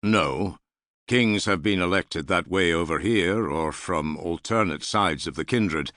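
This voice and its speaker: A man's voice: sits low, a little slow, a bit bright, no echo. A man voicing a self-assured Norse earl in an audiobook, with a dry, amiable irony. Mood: firm. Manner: dry, amiable, gravely ironic. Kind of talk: audiobook character dialogue.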